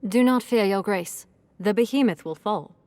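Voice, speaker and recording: A woman's voice: medium pitch, moderate pace, a little mellow, no echo, natural voice. A woman playing a composed, confident young knight in an audio drama, with restrained formal delivery. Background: music.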